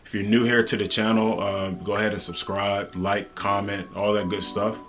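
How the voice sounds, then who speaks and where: A man's voice: fairly low pitch, level tone, normal speed, fairly bright tone. An American man talking casually and earnestly to viewers as a vlogger, in a slangy, conversational, motivational way. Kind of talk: casual motivational book talk to camera.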